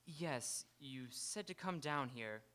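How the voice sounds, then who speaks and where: A man's voice: medium pitch, animated, slow pace, bright, forceful tone. A man playing a wry, hard-working writer who is awkward among the rich, in hesitant live radio theatre acting. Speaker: stage radio actor. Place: live on an auditorium stage.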